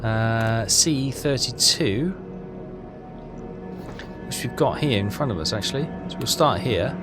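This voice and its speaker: A man's voice: mid-range voice, animated, slow, music in the background. A man hosting a casual game walkthrough, hesitant and rambling as he thinks aloud. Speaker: gaming video host.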